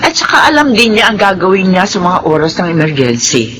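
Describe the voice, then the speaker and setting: A man's voice: high-pitched, sing-song, a little fast. A man playing a patient, protective village parent in a Filipino radio drama, explaining and defending. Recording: noisy radio drama recording.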